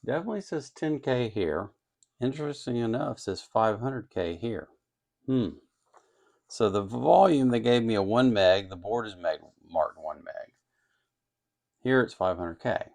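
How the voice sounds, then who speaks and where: A man with a fairly low voice and a strongly creaky, gravelly edge talks through a hands-on task. His delivery is casual and unscripted, as if thinking aloud. He muses and hesitates as he goes. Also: expressive, a little slow, darkish tone. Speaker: host of an electronics kit-building channel. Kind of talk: casual, unscripted workbench narration.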